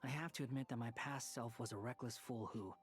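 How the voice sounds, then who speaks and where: A man's voice: mid-range voice, a little fast, lightly acted. A brooding, calculating young man, played by a male game actor, speaks in a medium register with music underneath. He is aloof and vengeful, and weighs his lines with cynical deliberation. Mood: resolute. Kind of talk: video game voice acting.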